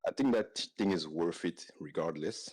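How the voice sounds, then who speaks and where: French-speaking guy calling in, very low voice, short answers. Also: normal speed, darkish tone, dry recording. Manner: short plain answers, some hesitation. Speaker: caller. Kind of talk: casual chat.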